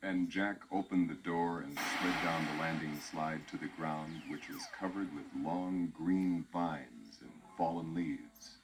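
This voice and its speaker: A man narrating a children's storybook record in a measured storytelling way. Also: rumbling low, background noise.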